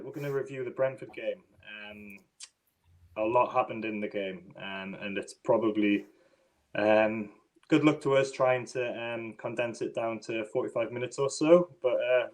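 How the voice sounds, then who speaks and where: A man with a Northeast English accent hosts a relaxed podcast chat. He often pauses on ums and restarts his sentences. His voice comes through a video call. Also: medium pitch. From northeast England. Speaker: podcast host.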